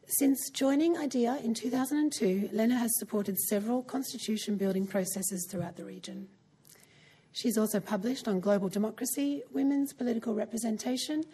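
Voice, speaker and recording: A woman host reading a formal scripted introduction of a guest speaker smoothly and evenly. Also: medium pitch, dark tone, poor audio.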